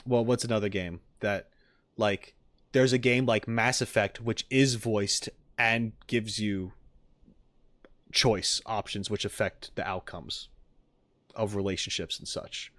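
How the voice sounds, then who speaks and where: A man's voice: lowish voice, fairly even intonation, takes it slowly, fairly bright tone. A man chatting casually to his stream viewers as he reacts to game videos, informal, joking and blunt.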